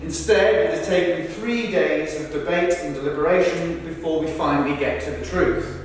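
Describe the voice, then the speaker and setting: A man performing a rehearsed reading of verbatim texts in a formal, deliberate, projected delivery. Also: a little high, close to an everyday voice. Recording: echoey hall, far from mic, faint noise.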